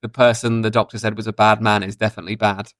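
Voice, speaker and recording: A man's voice: fairly low pitch, flat delivery, fast, no echo, studio quality. A man in his thirties chatting casually and giving his opinions as a podcast guest. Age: 30s.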